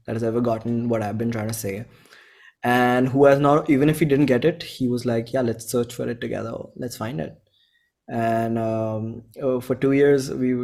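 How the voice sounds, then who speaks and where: A man in his twenties with an Indian accent, chatting casually as an interview guest and retelling exchanges in slangy, animated quotes. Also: medium pitch. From Bhopal, India. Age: 20s. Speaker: interview guest, singer-songwriter. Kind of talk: casual chat.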